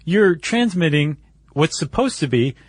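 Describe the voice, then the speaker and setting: Male podcast co-host, slightly high register, conversational explainer, close and dry on mic. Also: big pitch swings, a little slow, dry recording. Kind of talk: casual explanatory podcast chat.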